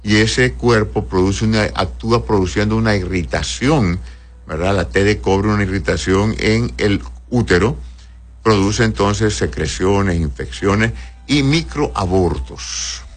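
A man's voice: on the low side, measured pace, soft background music. A male doctor answering listeners' health questions in a patient, explanatory way, often checking "right?" and calling patients "my love".

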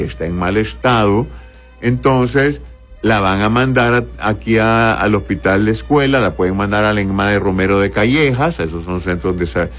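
Male Honduran doctor, low voice, informal advice to an audience with halting rephrasing, faint music underneath. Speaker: doctor answering audience health questions. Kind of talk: informal medical advice, reading questions aloud.

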